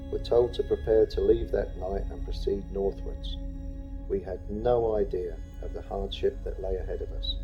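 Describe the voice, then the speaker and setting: A man reading a scripted first-person memoir as narration, in a slightly dull recording. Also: on the low side.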